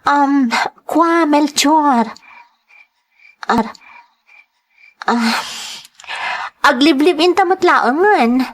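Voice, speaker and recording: Hesitant young girlfriend, acted by a woman. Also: high voice. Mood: hesitant, evasive. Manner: halting, hesitant. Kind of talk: Ilocano audio drama acting.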